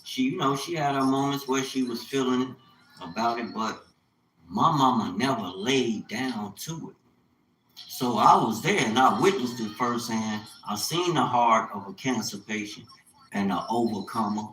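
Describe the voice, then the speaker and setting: A man in his fifties speaks off the cuff on a livestream in a medium-pitched voice. His talk is colloquial and rambling, circling back to repeat phrases. The room echoes noticeably, with a little noise behind him. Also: measured pace, fairly bright tone. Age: 50s. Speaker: livestreamer, small business owner. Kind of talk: casual livestream monologue.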